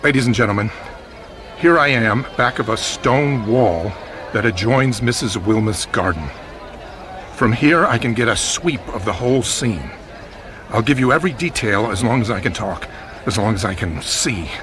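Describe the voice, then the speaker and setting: An actor playing an awestruck, frightened radio news reporter giving a stammering eyewitness report in an audio drama. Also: male voice, fairly low pitch, animated, normal speed, background noise, lightly acted. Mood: hushed, tense. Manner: breathless eyewitness description with stammered repeats.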